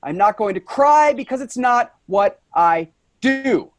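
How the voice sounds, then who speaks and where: A man performs a blunt, angry male office worker in a staged drama reading. He is defensive and sarcastic, with very animated, jumping intonation. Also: very high voice, unhurried. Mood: defensive, exasperated.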